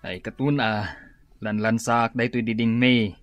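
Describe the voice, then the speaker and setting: A man's voice: medium pitch, a little slow, highs cut off, very noisy, natural voice. A man acting a busy, grumbling village handyman neighbor in an Ilocano radio comedy-drama, with an Ilocano accent.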